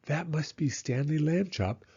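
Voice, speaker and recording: A man voicing a gawking, gossipy storybook passer-by in an excited hush. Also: a little high, a little slow, breathy edge, highs cut off, pitched above the performer's own voice. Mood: hushed excitement. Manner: hushed, excited.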